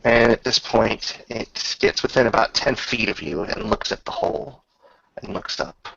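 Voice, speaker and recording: A man narrating a tabletop roleplaying game as game master, descriptive and measured, often pausing mid-sentence. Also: fairly low pitch, gravelly, fairly bright tone, a little hiss or hum. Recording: dull lo-fi recording.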